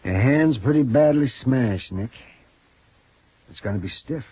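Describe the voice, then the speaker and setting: An excitable country doctor in a western radio play. His phrases are drawn out and his pitch swings widely, in a folksy way. The sound is dull old radio with faint noise. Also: a little slow, breathy edge, no room sound. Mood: grave. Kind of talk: 1950s western radio acting.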